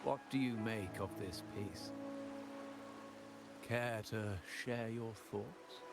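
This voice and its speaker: A man playing an elderly, courteous gentleman in a video game, musing in a formal, philosophical and gravelly voice. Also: on the low side, takes it slowly, over loud music. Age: older. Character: elderly cultured gentleman, courteous, musing, cryptic art lover. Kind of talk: scripted video game acting.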